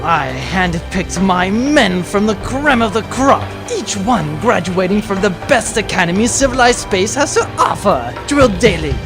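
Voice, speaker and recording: A man's voice: high voice, lively intonation, normal speed, few pauses. A man playing a pompous, boastful space baron in a theatrical space opera audio drama, with a bombastic, grandiose delivery. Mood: boastful, ranting. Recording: music behind the voice.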